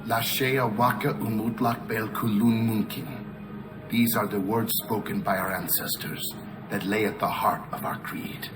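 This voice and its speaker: An actor playing a solemn middle-aged assassin mentor, steady and ceremonial, in a video game. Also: male voice, lowish voice, unhurried, natural voice. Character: solemn older Italian uncle, seasoned assassin mentor. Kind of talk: dramatic video game voice acting. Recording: loud music behind the voice.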